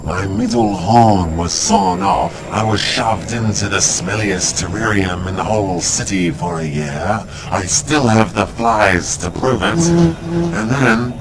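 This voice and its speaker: A man's voice: fairly low pitch, lively intonation, takes it slowly, creaky, background noise, full character voice. A man playing a defiant banished alien outcast. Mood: proud, grievous. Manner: grand and declamatory, then fumbling. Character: banished male alien intervener, defiant and showy. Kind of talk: amateur radio drama.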